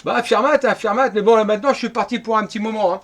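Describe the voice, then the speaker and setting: A man's voice: sits high, fairly even intonation, quick pace. A middle-aged man from France chatting casually as an amateur radio operator.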